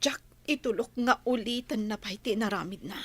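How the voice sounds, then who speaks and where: A woman playing a gentle, worried young lady in an Ilocano radio drama, soft and earnest. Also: a little high, moderate pace, slightly rough, dry recording.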